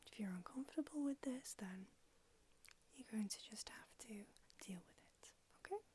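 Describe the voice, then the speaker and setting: A woman's voice: fairly high pitch, lively intonation, moderate pace, darkish tone, slight background noise, natural voice. A woman whispering in an ASMR roleplay, voicing a brusque, haughty old female vampire doctor with a dry manner. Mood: whispery, brusque.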